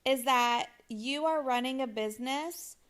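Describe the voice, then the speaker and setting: A woman's voice: high voice, measured pace, fairly bright tone. A woman hosting a podcast and coaching clients on media, talking in an enthusiastic, promotional way. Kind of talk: upbeat podcast interview.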